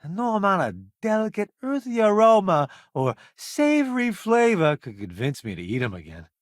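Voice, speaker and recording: A self-promoting food columnist turned spacefaring crewmate for a video game. He is breezy and sardonic, with a slightly high male voice that swings widely in pitch. He takes his time over his wisecracks, and the sound is close and dry. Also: wildly swooping pitch, moderate pace, no room sound. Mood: wry.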